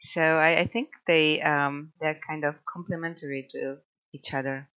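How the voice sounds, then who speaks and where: A woman's voice: sits low, steady tone, normal speed, fairly bright tone, clear, resonant tone, dull audio. A woman from Croatia with a Croatian accent, a podcast guest talking casually with many fillers and restarts. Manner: hesitant, many fillers and restarts, laughs often. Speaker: podcast guest, scientist and nature photographer. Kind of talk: casual interview talk.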